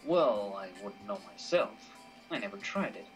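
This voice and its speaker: A man voicing a calm, dry-witted, worldly male companion in a visual novel, speaking in a measured, understated way. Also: lowish voice, natural voice. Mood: calm, probing. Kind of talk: amateur visual novel voice acting. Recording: faint music under the voice. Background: faint music.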